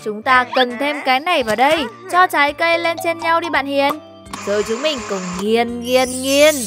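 Woman as small cartoon animal child, very high pitch, childlike, excitable, exclamatory, bossy, music underneath. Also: normal speed, lightly acted. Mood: playful. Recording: music behind the voice.

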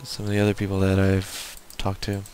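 A man's voice: low-pitched, completely flat delivery, fairly quick, a little mellow, a little hiss or hum. Southern US man rambling through gameplay. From southern United States. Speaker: gaming video host. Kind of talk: casual unscripted gameplay commentary.

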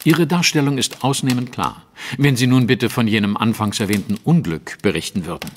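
An actor playing a composed, analytical detective in an audio drama, measured, articulate and probing. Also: male voice, mid-range voice, a little hiss or hum, natural voice. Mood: cool probing. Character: composed, analytical male detective, sceptical and precise. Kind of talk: German audio drama acting.

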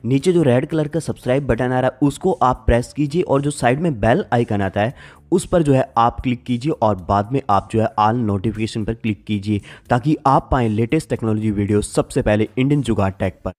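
A man's voice: mid-range voice, fairly quick, a little mellow. A man reading a scripted subscribe prompt as a channel presenter.

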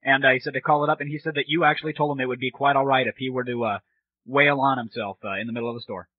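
A man's voice: mid-range voice, steady tone, very fast, no echo. Sarcastic man in muffled lo-fi audio. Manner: sarcastic, foul-mouthed. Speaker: show host. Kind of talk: irreverent comedy show and prank call. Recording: dull lo-fi old internet radio audio.

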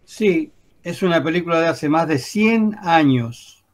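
A man's voice: fairly high pitch, expressive, a little slow. A man patiently explaining history as a storyteller in a radio drama.

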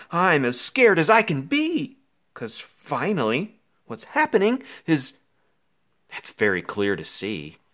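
A man reads a rhyming children's book aloud in the role of an anxious little boy. His delivery is theatrical and extremely animated, with pitch leaping up and down. He takes it slowly, leaving dramatic pauses. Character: anxious, melodramatic five-year-old boy narrating his woes. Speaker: picture book reader.

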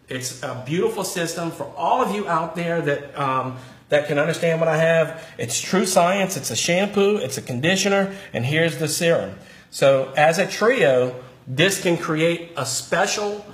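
A middle-aged male hairdresser giving an excited product demonstration, talking with frequent ums, filmed on a phone indoors. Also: a little high. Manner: enthusiastic, salesy, with frequent ums.

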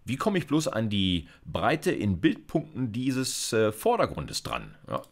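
Low-voiced, lively middle-aged man lecturing. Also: unhurried. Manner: thinking aloud, informal. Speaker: university computer science lecturer. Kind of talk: explanatory screencast lecture with live coding. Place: indoors, seated at a computer.

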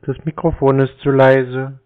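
A man in his twenties on a phone call, speaking in a terse, deadpan, deliberately obtuse way. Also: mid-range voice. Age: 20s. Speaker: scambaiter taking scam calls. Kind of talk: prank phone conversation. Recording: narrow-band, dull phone-call audio.

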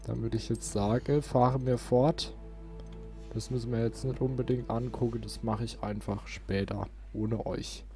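A man's voice: mid-range voice, fairly even intonation, moderate pace, warm tone, faint music behind. A man giving casual gameplay commentary as a let's play host.

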